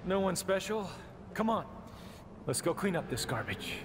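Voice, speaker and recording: A cocky, middle-aged action hero, gruff and casual in a video game. His fairly high male voice swaggers wryly over clear background ambience. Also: big pitch swings, moderate pace, noisy background. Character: cocky, laid-back middle-aged demon hunter, wry hero.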